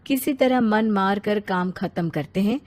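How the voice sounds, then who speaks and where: A woman's voice: mid-range voice, unhurried, darkish tone. A woman reading scripted narration for a bedtime story.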